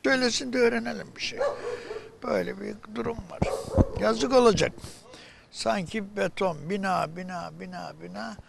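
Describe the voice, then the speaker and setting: A man's voice: sits high, moderate pace, creaky, background noise. A man in a casual interview, lamenting with emphatic repetition and rhetorical questions.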